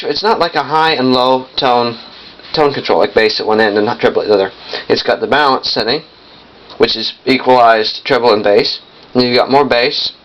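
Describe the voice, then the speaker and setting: A young man giving a casual hands-on demonstration in a halting, piecemeal way, often correcting himself. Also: medium pitch, a bit bright. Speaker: vintage audio collector demonstrating a machine. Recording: muffled lo-fi camcorder sound, noisy.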